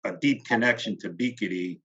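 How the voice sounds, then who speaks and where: Low bright-toned man, reflective. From Philadelphia, USA. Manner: measured, trails off quietly at phrase ends. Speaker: guest. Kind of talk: reflective interview talk. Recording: slightly dull lo-fi audio.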